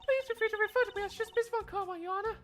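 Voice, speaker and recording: Young girl prosecutor for game fandub, performed by a man, piercingly high, prim and superior. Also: very fast, fairly bright tone. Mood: haughty.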